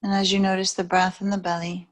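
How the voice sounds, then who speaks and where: A woman yoga teacher guiding a yoga nidra meditation in a soothing, unhurried way, leaving long pauses between phrases. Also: mid-range voice, flat delivery.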